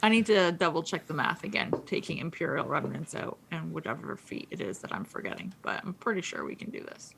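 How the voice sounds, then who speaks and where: A woman co-hosting a casual podcast, chatting quickly with lots of fillers and restarts. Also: deep voice, expressive, vocal fry, background noise. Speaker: co-host. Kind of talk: casual gaming podcast chat.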